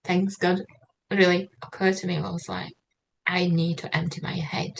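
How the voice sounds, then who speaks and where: A woman from Slovakia with a Slovak accent tells her personal story as an interview guest, haltingly, searching for words and repeating herself. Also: lowish voice, flat delivery, creaky, poor audio. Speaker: interview guest, writer and mentor. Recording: noticeable background noise.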